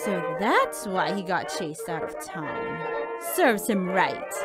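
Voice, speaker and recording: A woman voicing a feisty, no-nonsense old grandmother in a comic dub, brisk, bossy and folksy. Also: lowish voice, big pitch swings. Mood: stern. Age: older. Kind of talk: fan comic dub voice acting. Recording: music behind the voice.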